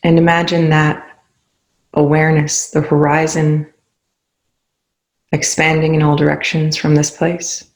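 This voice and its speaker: A meditation guide speaks very slowly in an even, level tone with noticeable creak at the edges. The delivery is soothing and unhurried, leaving long pauses between short phrases. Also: medium pitch, low-quality recording.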